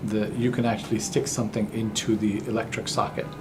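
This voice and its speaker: A man's voice: fairly low pitch, flat delivery, fast talker, background noise. A man from Pakistan answering interview questions in a friendly way, often repeating words as he starts. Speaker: interview guest, business founder.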